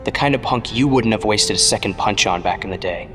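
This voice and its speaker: An actor playing an earnest, insistent young streetwise protégé to an older hero in an audio drama. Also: male voice, lowish voice, a little fast, pushed and bright, soft background music. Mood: earnest confession. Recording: long cavernous reverb.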